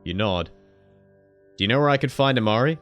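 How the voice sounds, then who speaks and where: A male gaming streamer narrates a visual novel and drops wry, joking remarks between lines. His voice sits at a middle pitch. Soft music plays under him. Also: expressive, a little fast, highs cut off. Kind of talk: reading a visual novel aloud with commentary.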